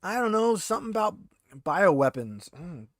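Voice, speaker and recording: A man's voice: high-pitched, sing-song, normal speed, no echo, clean studio sound. A man voicing a short balding insurgent prisoner who is defensive and evasive, stammering indignantly. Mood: flustered, evasive.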